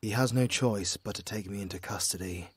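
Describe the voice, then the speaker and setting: A man playing a noble, resigned demon hunter in a fantasy audio drama, solemn and measured. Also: lowish voice, slightly rough, no echo, studio quality. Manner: solemn, measured, hushed at times.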